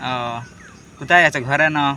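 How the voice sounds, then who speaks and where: A man's voice: on the high side, fairly even intonation, moderate pace, bright, forceful tone, a little hiss or hum. A man chatting casually as a prank-call host. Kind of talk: casual chat to viewers and on a phone prank.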